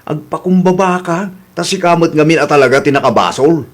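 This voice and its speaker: A man's voice: on the high side, brisk, close to an everyday voice. A man playing a scolding father. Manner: chiding, lecturing. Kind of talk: Ilocano radio drama acting.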